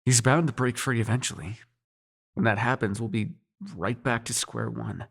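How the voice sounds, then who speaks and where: A man's voice: mid-range voice, steady tone, normal speed, creaky, no room sound, studio quality. A man playing a cocky, sarcastic demon prince with a tender side in an intimate audio roleplay, speaking casually with teasing and swearing. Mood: grim, scheming. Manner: casual, sweary, teasing, hesitant when sincere.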